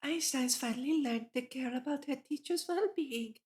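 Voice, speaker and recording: A woman's voice: sits high, moderate pace, darkish tone. A woman streamer reading a visual novel aloud, narrating and reacting as she goes. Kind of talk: livestream read-aloud with character voices and reactions.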